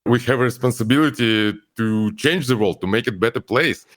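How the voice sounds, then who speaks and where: A man's voice: medium pitch, brisk. A man from Ukraine with a Ukrainian accent, speaking as an interview guest in earnest, carefully phrased English. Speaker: guest, company founder. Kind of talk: interview conversation.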